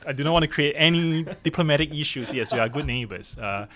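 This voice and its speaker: A man's voice: fairly high pitch, expressive, quick pace, a bit bright. Singaporean man in his forties, hesitant. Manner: hesitant, many fillers, self-deprecating humor. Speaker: guest, university professor. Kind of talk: casual podcast interview.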